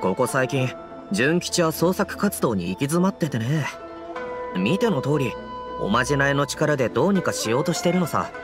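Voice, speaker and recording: A man's voice: medium pitch, very animated, normal speed, natural voice. A man playing a weary, sceptical, reproving book editor in an anime game. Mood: resigned. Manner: exasperated, reproving, articulate. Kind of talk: Japanese anime game voice acting. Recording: background music under the voice.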